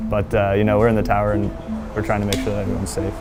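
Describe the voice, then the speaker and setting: Young guy air traffic controller explaining stuff, pretty flat low voice, music behind. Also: racing. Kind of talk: documentary interview.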